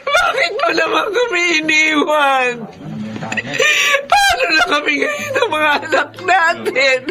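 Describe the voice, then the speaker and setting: Sobbing Filipino widow for radio drama: high, swooping voice, despairing mother. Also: big pitch swings, takes it slowly, shouting, exaggerated voice. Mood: wailing grief. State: crying, sobbing. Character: grief-stricken newly widowed mother, wailing and despairing.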